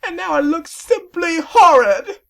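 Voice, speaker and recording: A woman's voice: very high-pitched, expressive, gravelly, pitched above the performer's own voice, exaggerated voice. A woman voicing a vain, weepy male sea serpent in a read-aloud fan story, wailing and drawing out his words. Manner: wailing, melodramatic, drawn-out.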